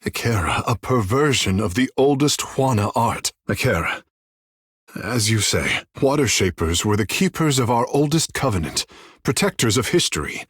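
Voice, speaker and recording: An actor voicing a vain, flirtatious sea-folk water shaper in a video game, with theatrical, languid, florid delivery. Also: male voice, mid-range voice, lively intonation, moderate pace, a bit bright, breathy edge, dry recording, clean studio sound. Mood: troubled, reflective. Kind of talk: video game character dialogue.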